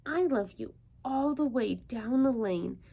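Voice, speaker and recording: A woman's voice: a little high, lively intonation, measured pace, mellow, slightly rough, full character voice. A woman voicing an eager, loving little young hare from a storybook, making earnest declarations. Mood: excited.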